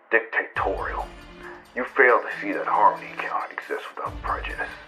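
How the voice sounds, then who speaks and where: Man acting a deep-voiced, menacing avenger. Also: sharp tone, poor audio. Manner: solemn, accusing. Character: menacing, righteous male avenger leaving a taped threat. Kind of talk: dramatic monologue, amateur audio drama.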